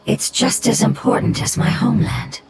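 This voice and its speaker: A voice actor playing a gentle, homesick little forest spirit in a game cutscene, speaking softly with hushed pauses. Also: very noisy, natural voice. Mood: wistful.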